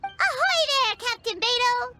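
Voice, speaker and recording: A woman voicing a tiny, excitable, childlike fairy companion in a video game, squeaky and inquisitive. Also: unhurried, faint music behind, heavily acted. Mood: cheerful. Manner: exclamatory, inquisitive. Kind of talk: video game character acting.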